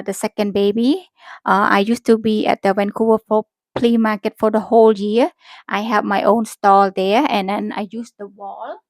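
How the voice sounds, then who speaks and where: A woman in her thirties from Cambodia, a native Khmer speaker with a Cambodian accent, chats casually as a vlogger in halting, self-correcting, simple phrasing. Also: mid-range voice, creaky, dry recording. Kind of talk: casual rambling vlog chat.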